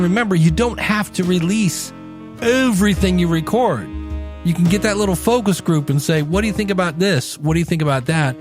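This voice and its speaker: A man hosting a podcast in a conversational solo style, speaking emphatically and slowing down for dramatic effect. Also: sits high. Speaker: podcast host. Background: background music.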